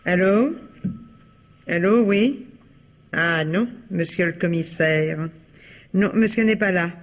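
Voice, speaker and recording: An actress playing a blunt, wary housekeeper in a radio mystery, plain-spoken and offhand. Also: female voice, on the low side, slow. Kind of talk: old French radio mystery acting.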